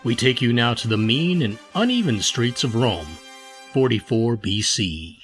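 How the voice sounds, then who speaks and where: Animated male old-time radio announcer. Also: mid-range voice, unhurried, soft background music. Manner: showy, enthusiastic.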